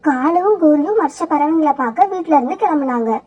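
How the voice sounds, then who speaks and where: Woman narrator, high voice, steady storybook narration, children's cartoon. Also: brisk, dull audio.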